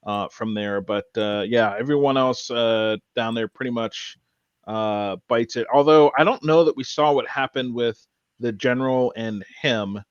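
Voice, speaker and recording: A man's voice: medium pitch, unhurried, smooth, no room sound, muffled recording. A man chatting casually as a podcast panelist, recapping a story in an informal way.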